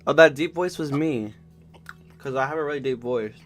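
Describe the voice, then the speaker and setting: Excitable, jokey man on a stream. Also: medium pitch, faint music behind. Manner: excitable, jokey, quick reactions. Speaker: indie animation team member, stream co-host. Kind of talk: casual livestream chat.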